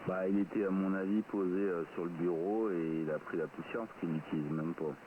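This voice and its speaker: Guy, really deep voice, casual hesitant chat on a tinny radio, loads of noise. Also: steady tone, a little fast. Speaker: radio amateur. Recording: tinny, very noisy radio link.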